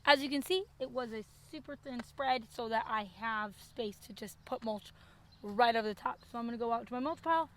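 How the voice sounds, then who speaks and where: A homesteading woman talks through her garden chores in a relaxed, practical way. Her fairly high voice is hoarse and scratchy, picked up outdoors on a small earbud mic. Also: a little fast, music in the background. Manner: chatty, practical, self-deprecating. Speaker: homesteading vlogger. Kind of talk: casual how-to chat. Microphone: wireless earbud mic.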